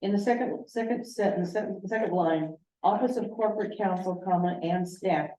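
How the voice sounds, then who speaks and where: A woman in a committee meeting asks questions and checks details of a draft. Her tone is mellow, and the room adds a clear echo. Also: on the low side, normal speed, slight background noise. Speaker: committee member. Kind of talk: casual committee discussion.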